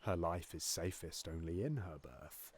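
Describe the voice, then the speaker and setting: A man's voice: sits low, unhurried, darkish tone, slightly rough, no echo. An actor playing a ruthless usurping king who commands and persuades coldly in verse. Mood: coldly persuasive. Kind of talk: Shakespearean audio drama.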